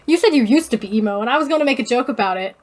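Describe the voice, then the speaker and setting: High voiced girl podcast cohost, chatty and giggly, just rambling casually. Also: lively intonation, very fast. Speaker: podcast co-host. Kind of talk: casual banter.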